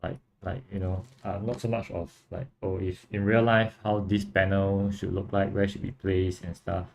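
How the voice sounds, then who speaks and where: A young man from Singapore with a Singaporean accent, casually chatting on a livestream and answering viewers in a relaxed, rambling way. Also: low-pitched, moderate pace, gravelly, noisy background. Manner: relaxed, rambling, frequent fillers and restarts. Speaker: livestream host and model kit builder.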